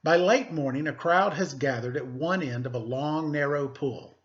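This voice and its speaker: A man speaking as an elementary teacher, reading aloud to pupils with deliberate emphasis and informal asides. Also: on the high side, muffled recording. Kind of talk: read-aloud lesson with casual asides.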